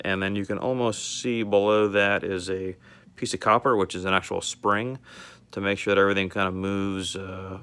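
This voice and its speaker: Low-voiced man giving an informal demo. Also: fairly bright tone, clean tone. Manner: explanatory, step-by-step. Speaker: car radio restorer demonstrating a converted radio. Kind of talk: informal product demonstration to a customer.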